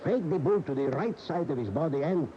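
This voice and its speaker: An actor in a radio drama playing an emotional bullfight devotee, recalling his idol reverently with dramatic, halting phrases. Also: on the high side, expressive, close to an everyday voice. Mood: reverent, awed. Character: admiring bullfight aficionado reminiscing, emotional and reverent. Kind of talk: 1980 radio drama monologue, interview-like recollection. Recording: dull, noisy 1980 AM radio recording.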